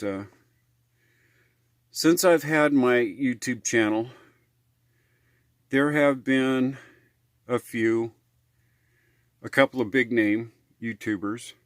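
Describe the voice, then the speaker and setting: Unhurried American man, rambling and folksy. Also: medium pitch, dry recording, clean studio sound. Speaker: RV living vlogger. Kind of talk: casual how-to vlog talk.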